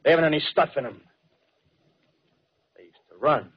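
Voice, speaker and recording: An American actor playing a fanatical, rough-spoken soldier survivor in a 1930s radio drama, talking in clipped, insistent slang. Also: male voice, on the high side, animated, normal speed. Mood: fierce, insistent. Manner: colloquial tough-guy talk, clipped phrases, insistent. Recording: dull, muffled 1930s radio broadcast recording.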